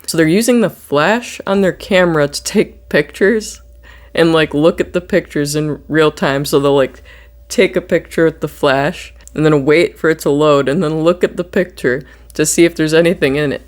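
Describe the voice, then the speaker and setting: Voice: mid-range voice, normal speed. Casual, sweary horror movie reviewer. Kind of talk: casual movie recap commentary.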